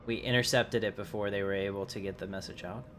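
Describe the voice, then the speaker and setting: A man's voice: lowish voice, fairly even intonation, fast, fairly bright tone. A man giving casual live commentary as he streams a video game. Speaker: game livestreamer. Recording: noisy livestream with game audio.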